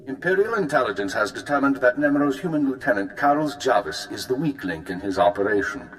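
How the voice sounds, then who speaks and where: A man's voice: medium pitch, sing-song, a little fast, faint music behind, lightly acted, poor audio. A man playing a cold spy handler. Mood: approving. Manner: crisp, commanding briefing. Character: stern male intelligence handler, cold and precise. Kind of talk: video game cut-scene acting.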